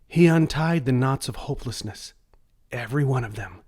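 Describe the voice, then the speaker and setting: A man narrating a scripted children's story in a deliberate read-aloud manner. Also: mid-range voice, a little mellow, breathy edge.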